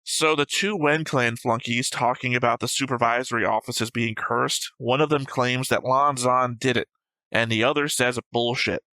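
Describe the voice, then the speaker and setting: A man's voice: medium pitch, steady tone, normal speed, pushed and bright, dry recording, low-quality recording. A man in a casual discussion, arguing his points and swearing now and then as he analyses a show. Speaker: host of an anime reaction show.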